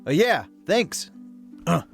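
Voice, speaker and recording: A man's voice: a little high, sing-song, takes it slowly, gravelly, faint music behind, full character voice. A man playing a boisterous, crude but kindly dwarf fighter in a fantasy audio drama, blunt and jokey. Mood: sheepish boasting.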